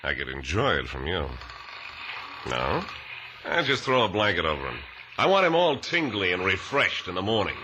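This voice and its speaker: An actor playing a mocking, menacing gangster villain with theatrical, flowery slang in a 1950s radio drama. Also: male voice, deep voice, wildly swooping pitch, normal speed. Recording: noisy vintage radio recording.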